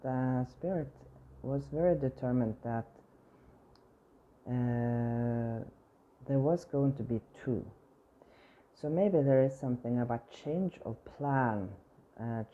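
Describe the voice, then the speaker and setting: Slow, hesitant man with a scratchy voice. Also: medium pitch, phone-quality sound. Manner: hesitant, many fillers and restarts. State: scratchy, strained voice. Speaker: tarot reader. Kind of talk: channeled tarot reading.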